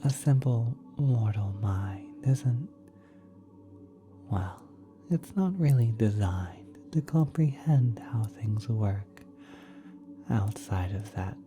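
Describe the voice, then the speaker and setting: A man voicing a kind, doting celestial being in a soft, breathy, often whispered ASMR roleplay, tender and halting. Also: on the low side, lively intonation, over music. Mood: patient, explaining. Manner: tender, halting, gently coddling. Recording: cosmic ambient sound effects behind.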